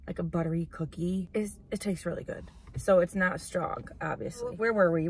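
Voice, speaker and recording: A woman's voice: lowish voice, fairly bright tone, a little hiss or hum. Chatty young woman vlogging in a car. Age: 20s. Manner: chatty, rambling, lots of "like" and "literally". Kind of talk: casual car vlog chat. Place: parked car. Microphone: camera on dashboard.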